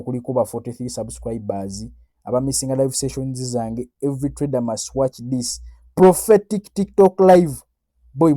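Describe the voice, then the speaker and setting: A man's voice: mid-range voice, warm tone, dry recording. A Ugandan man with a Ugandan accent giving a casual forex chart breakdown, mixing Luganda and English in a chatty, boastful way. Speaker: forex trading analyst. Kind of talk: casual screen-recorded chart analysis.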